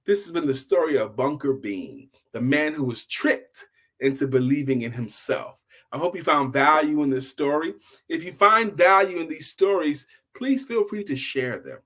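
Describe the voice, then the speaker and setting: A man's voice: fairly high pitch, animated, moderate pace, bright, forceful tone. An older man telling an informal bedtime story in a folksy way, often repeating phrases for emphasis. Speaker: storyteller, host.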